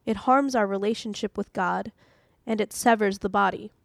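A woman hosting a podcast, reading an audio devotional in a calm, measured, earnest way. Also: mid-range voice, gravelly, dry recording, clean studio sound. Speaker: devotional podcast host and reader.

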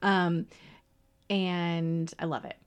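A woman's voice: on the low side, flat delivery, very slow, clear, resonant tone. A woman co-hosting a podcast, chatting casually and explaining things in detail, with small restarts. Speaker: podcast co-host. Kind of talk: casual chat.

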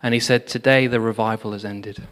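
Man, preacher, storytelling sermon, animated, echoing church hall. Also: on the low side, brisk. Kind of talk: sermon on revival history. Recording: long hall reverb.

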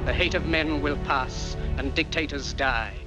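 An actor playing a man giving an impassioned old-film speech to soldiers, building from solemn to a shout. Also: a little high, unhurried, loud music behind the voice, close to an everyday voice. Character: man rousing soldiers against dictators. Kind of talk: 1940s film oration.

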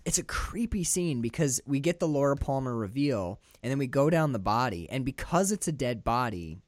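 A man co-hosting a casual film podcast. He talks excitably, swears freely, often laughs mid-sentence, and his voice jumps up high when he gets worked up. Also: moderate pace, no room sound, clean studio sound. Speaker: podcast co-host. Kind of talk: casual film-discussion chat.

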